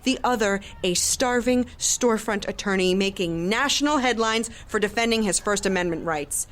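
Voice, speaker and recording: An actress playing a sharp-tongued, middle-aged professional woman with wounded pride in an audio drama. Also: medium pitch, normal speed, close to an everyday voice. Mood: sardonic. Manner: sardonic, articulate. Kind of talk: audio drama acting and first-person narration.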